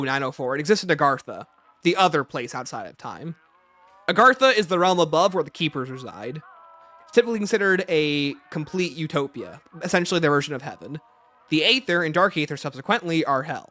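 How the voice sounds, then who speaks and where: A man's voice: fairly high pitch, bright, forceful tone. A man hosting a livestream, explaining and answering viewer questions off the cuff. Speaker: livestream host.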